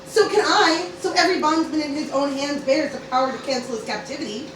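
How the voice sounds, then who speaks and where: A woman's voice: high-pitched, quick pace, close to an everyday voice. A woman playing a bold, loyal teenage cartoon granddaughter plotting in a mock-Shakespearean amateur radio play. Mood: resolute. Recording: roomy, distant, noisy amateur group recording.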